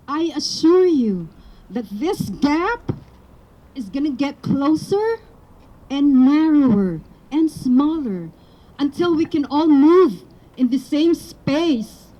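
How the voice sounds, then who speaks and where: A woman's voice: high voice, warm tone, poor audio. A woman from the Philippines whose first language is Tagalog, giving an earnest public speech at a rally outdoors. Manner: earnest, building to emphatic appeals. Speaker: rally speaker.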